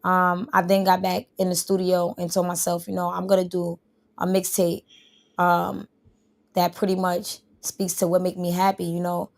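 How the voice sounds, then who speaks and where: A woman rapper answering interview questions. Her voice is low, gravelly and fairly flat. She rambles loosely, full of fillers. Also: normal speed. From New York. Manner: rambling, relaxed, many fillers.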